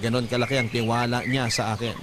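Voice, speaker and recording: A man's voice: medium pitch, flat delivery, quick pace, heavy background noise, natural voice. An actor playing an earnest young man who confesses a troubled love affair in scripted first-person narration. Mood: earnest, regretful. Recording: music playing behind the voice.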